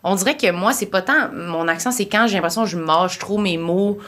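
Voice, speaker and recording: A woman's voice: mid-range voice, brisk, fairly bright tone. Québécois woman in casual podcast chat. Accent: Québécois French. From Quebec. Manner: casual, slangy, uses "genre" and "tu sais". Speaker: podcast host.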